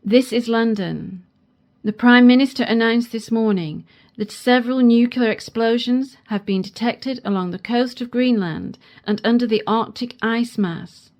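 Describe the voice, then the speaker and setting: An actress playing a formal, earnest female news anchor in a science fiction radio play, speaking in measured phrases. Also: fairly high pitch, darkish tone. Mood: grave, formal. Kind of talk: scripted radio news acting.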